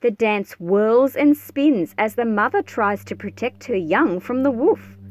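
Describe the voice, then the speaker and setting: A woman reading a picture book aloud as an expressive, measured storyteller. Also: on the high side, no room sound. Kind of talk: scripted storybook read-aloud narration. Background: background music.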